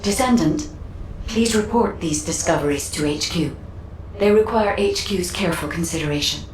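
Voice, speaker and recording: A woman playing a composed, analytical guide in a sci-fi game, speaking in formal, deliberate, grave exposition. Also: lowish voice, a little hiss or hum, close to an everyday voice. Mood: grave, concerned. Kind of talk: scripted game dialogue, measured exposition. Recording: echoing voice over faint game ambience.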